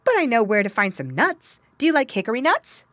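A woman's voice: fairly high pitch, wildly swooping pitch, fairly quick, a bit bright, dry recording, voice pushed up, exaggerated voice. A woman voicing a cheerful, confident young girl squirrel in a storybook, chattering in a chirpy, breezy way.

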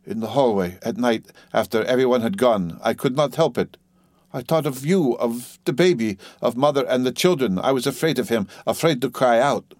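A man voicing a desperate, ashamed young wife in an audiobook, pleading and halting as the words come out. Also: medium pitch, a little mellow, no room sound, studio quality, close to an everyday voice. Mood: halting, fearful confession. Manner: wailing, halting, gasping, repeating pleas. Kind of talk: dramatic audiobook character reading.

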